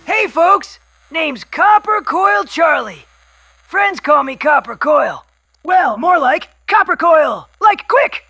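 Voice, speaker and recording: A man playing a loud, excitable wasteland scavenger and collector of old recordings, who yells everything into the microphone. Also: extremely high pitch, takes it slowly, creaky, heavily acted. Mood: excited. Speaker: radio guest.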